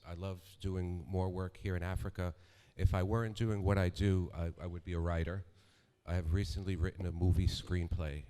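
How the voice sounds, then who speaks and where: Man panelist, very deep voice, relaxed and joking. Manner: jokey, relaxed. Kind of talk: conference panel introduction.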